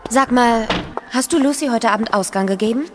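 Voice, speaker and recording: An actress playing a dutiful, watchful young niece in a German audio drama, speaking earnestly and cautiously. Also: female voice, on the high side, brisk, natural voice. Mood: concerned. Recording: reverberant hallway with music.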